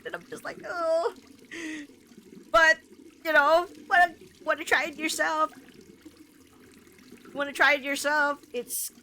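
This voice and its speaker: A woman game streamer chatting casually to her viewers in a rambling, halting way, full of filler words. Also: high-pitched, sharp tone, a little hiss or hum. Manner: rambling, halting, punctuated with "like" and "you know". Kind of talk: casual livestream chat to viewers.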